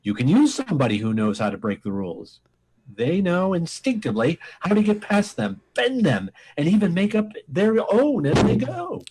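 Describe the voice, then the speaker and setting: A man acts out a pompous space admiral in a comic radio play. The voice is raspy and high, with big dramatic swings. He gives orders and speeches with commanding bluster. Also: very animated, moderate pace, darkish tone, full character voice, poor audio. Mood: approving, commanding.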